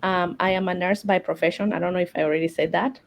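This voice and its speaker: A woman's voice: lowish voice, steady tone, fast. A Kenyan woman introducing herself on an online panel, a little hesitant and good-humored. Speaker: panel guest, nurse and mother. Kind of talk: casual panel talk. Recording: dull lo-fi sound.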